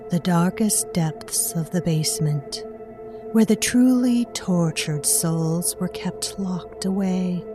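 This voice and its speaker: A woman playing a mysterious narrator, telling a dark fairy tale in a measured, dramatic way for an audio drama. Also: fairly low pitch. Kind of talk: scripted dark storytelling narration. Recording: music behind the voice.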